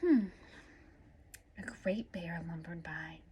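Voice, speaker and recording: A woman reading a picture book aloud to children in an animated way, adding little asides as a storyteller. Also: on the low side, slow pace, husky. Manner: animated, with asides to young listeners. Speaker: story-time reader.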